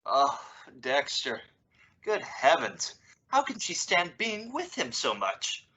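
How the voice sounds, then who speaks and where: A woman's voice: deep voice, wildly swooping pitch, takes it slowly, sharp tone, breathy edge, heavily acted. A woman playing a wry, exasperated but loving middle-aged father in an old-time radio sitcom, with emphatic, sarcastic delivery. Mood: wryly sarcastic. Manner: dry sarcasm with emphatic swoops. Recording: dull, lo-fi audio.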